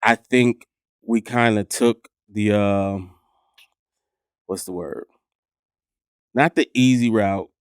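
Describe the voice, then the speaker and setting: A man's voice: on the low side, slow pace, pauses often, clean tone, no echo, clean studio sound. A man co-hosting a podcast, talking in a laid-back way, thinking aloud and swearing casually. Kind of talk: casual chat.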